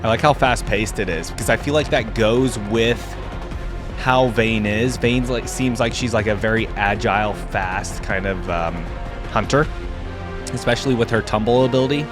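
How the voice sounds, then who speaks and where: A man's voice: fairly low pitch, normal speed. A man giving casual reaction commentary as a gaming channel host, chatty and thinking aloud. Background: game theme music.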